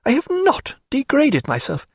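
Man voicing a high-pitched, proud, spirited gentlewoman. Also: wildly swooping pitch, measured pace, creaky, no echo, clean studio sound, full character voice. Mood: wounded indignation. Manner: imperious, indignant, formal period diction. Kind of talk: dramatised audiobook character voice.

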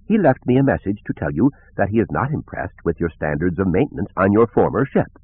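A man's voice: low-pitched, very animated, a little fast, husky, dry recording, full character voice. A man voicing a prim, fussy, polite and anxious male robot servant with formal speech, in an audiobook. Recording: narrow phone-like band.

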